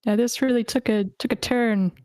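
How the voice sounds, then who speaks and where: A woman's voice: on the high side, fairly even intonation, moderate pace, darkish tone, dry recording. A woman hosting a script table read, moderating casually and reading aloud. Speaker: host of script table reads.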